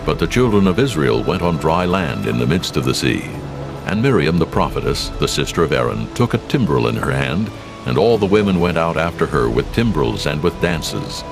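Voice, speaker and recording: Very deep, gravelly male narrator. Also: sing-song, music in the background. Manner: solemn, measured. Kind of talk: scripted dramatized scripture narration.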